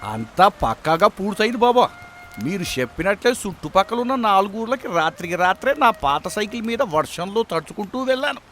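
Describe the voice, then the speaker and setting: A man's voice: sits high, big pitch swings, brisk, a bit bright, soft background music. A man playing an eager, loyal village errand helper in a dramatized moral story, speaking emphatically. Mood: proud, dutiful.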